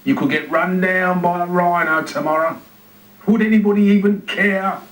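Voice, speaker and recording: A man's voice: high voice, steady tone, normal speed, fairly bright tone, pitched above the performer's own voice. Man voicing a self-important storybook zookeeper, roomy distant sound. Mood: gloomy, self-pitying. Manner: dramatic muttering and exclaiming to himself. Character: gloomy, self-pitying, self-important male zookeeper. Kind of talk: children's storybook reading. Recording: roomy, distant TV speaker playback.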